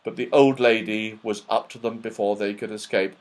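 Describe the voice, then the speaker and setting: A man narrating a children's story as an audiobook reader, in an expressive, dramatic style. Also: on the low side, moderate pace, poor audio.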